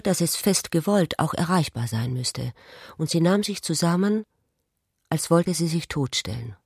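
A woman reading literary narration in a measured, contemplative manner for a radio play. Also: deep voice, a little mellow, no room sound, studio quality.

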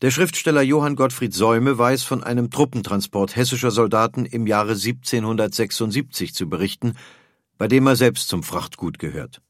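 A man narrating a scripted documentary in a measured, factual manner. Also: medium pitch, no echo, studio quality. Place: dry studio.

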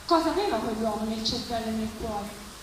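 A woman giving an expressive, theatrical reading of literary prose at a live event. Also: fairly high pitch, a little fast. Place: live public reading at a festival, distant mic. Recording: echoey, distant, noisy live event recording.

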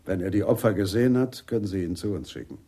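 Skeptical older-ranking cop in an audio play, warm dark tone, wry. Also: male voice, fairly low pitch, quick pace, breathy edge. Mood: composed, courteous. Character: skeptical senior detective, man, friendly and wry. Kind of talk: German radio play acting.

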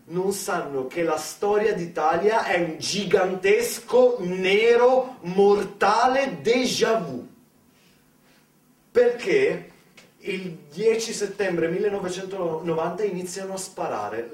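High-voiced male stage storyteller, roomy distant recording. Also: expressive. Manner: emphatic, with deliberate pauses and repetitions. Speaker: monologue performer, narrative theatre actor. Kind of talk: dramatic theatrical storytelling monologue. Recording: roomy, distant mic, faint background noise.

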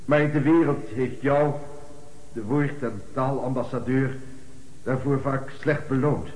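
An actor playing a lofty male funeral orator in a radio play, declaiming a eulogy with measured pauses. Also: mid-range voice, a little mellow, lightly acted. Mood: solemn, lofty. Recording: echoey hall with long reverb.

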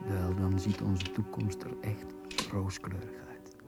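A man's voice: deep voice, flat delivery, measured pace, gravelly, music in the background. A man acting in a radio drama, playing a proud, cultured dwarf nobleman and father in an articulate, theatrical manner. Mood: fond.

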